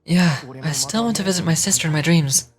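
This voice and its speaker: A woman's voice: deep voice, expressive, a little fast, soft background music, voice pushed down. A woman voicing worried, apologetic townsfolk, reading game dialogue in a hesitant, plaintive way. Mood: doubtful, plaintive. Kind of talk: dramatic read-aloud of game dialogue.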